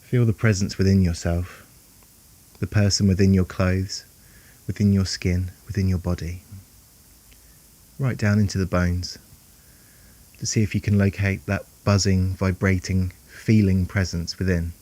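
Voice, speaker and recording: A man guiding a meditation in a calm, soothing, unhurried way, leaving long pauses between phrases. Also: deep voice. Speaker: meditation guide. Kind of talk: guided meditation narration.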